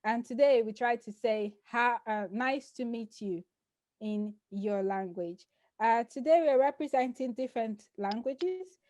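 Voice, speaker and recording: Teasing higher-voiced woman host. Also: measured pace, a little mellow, dry recording. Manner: teasing, laughing hostess. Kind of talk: casual group chat hosting.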